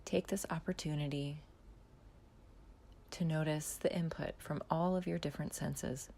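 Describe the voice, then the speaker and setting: A woman calmly guiding a body scan meditation, speaking slowly and softly with long pauses and a half-whispered delivery. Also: sits low, creaky. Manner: slow, soft, calm instructions with long pauses, often half-whispered. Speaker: mindfulness guide.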